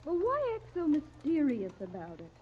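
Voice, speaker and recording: An American actress playing a curious, easily wounded young niece in a 1930s radio serial, on a muffled, noisy old recording. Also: female voice, sits high, huge pitch swings, normal speed. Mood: insistent. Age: young adult.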